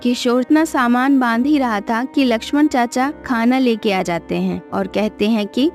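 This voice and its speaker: A woman's voice: a little high, a little slow, a little mellow, soft background music. A woman narrating a scripted moral cartoon story as its storyteller.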